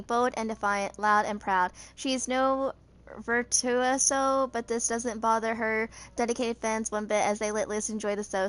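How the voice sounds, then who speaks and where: A woman in her twenties reads video game character stories aloud for her channel. Her voice sits at a medium pitch. She stumbles on words, goes back over them, and slips into casual asides. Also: rough recording. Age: 20s. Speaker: gaming channel host.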